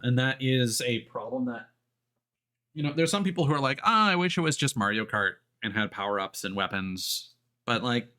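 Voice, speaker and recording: A man giving casual, explanatory livestream commentary on a video game, thinking aloud with hesitant pauses. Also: mid-range voice, lively intonation, sharp tone. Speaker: video game live streamer. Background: music behind the voice.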